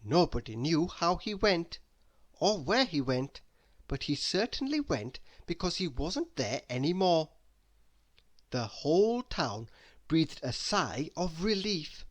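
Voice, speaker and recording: A man reading a children's story aloud in an expressive, deliberate way. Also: fairly high pitch. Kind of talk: expressive scripted narration for children.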